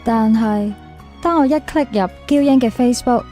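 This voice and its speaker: A woman's voice: fairly high pitch, moderate pace, mellow, natural voice. A woman playing an insecure, lovelorn young woman in a radio drama, plaintive and questioning, with a Hong Kong Cantonese accent. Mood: heartbroken. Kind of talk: radio drama acting with confessional narration. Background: music under the voice.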